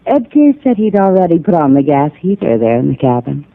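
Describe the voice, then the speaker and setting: An actress playing a gentle, concerned wife in a 1950s radio drama. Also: female voice, low-pitched, very animated, brisk. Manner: gentle and solicitous. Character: warm, concerned adult woman, wife of the inventor. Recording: lo-fi old radio broadcast.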